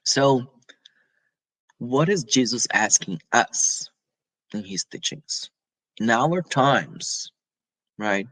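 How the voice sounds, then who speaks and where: Man giving a halting spiritual talk. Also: medium pitch, expressive, a bit bright, low-quality recording. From Brazil. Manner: halting, many restarts and tag questions. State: coughing now and then.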